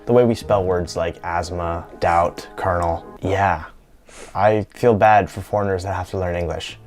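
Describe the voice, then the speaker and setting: Young American man, low playful vlog voice. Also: steady tone, measured pace, a little mellow, faint music behind. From United States. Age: young adult. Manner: playful, self-deprecating, lightly joking. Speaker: YouTube creator. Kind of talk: scripted vlog commentary.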